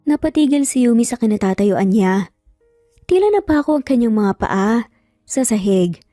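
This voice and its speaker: Woman, Filipino accent, dramatic story narrator, slightly high pitch, dry sound. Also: animated, normal speed, mellow, no room sound, faint music behind.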